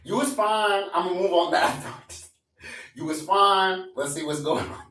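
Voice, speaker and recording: A man's voice: high-pitched, a little slow, pushed and bright, far from the mic. A man in his twenties hosting a live stream in a casual, profane rant that repeats lines for emphasis. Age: 20s. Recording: echoey room, away from mic.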